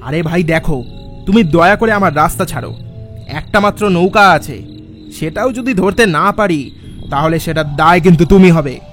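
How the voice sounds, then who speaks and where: A man playing an anxious traveller who tells a horror story in the first person, dramatic and expressive. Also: a little high, moderate pace, faint music behind. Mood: irritated. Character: anxious male traveller recounting his night crossing.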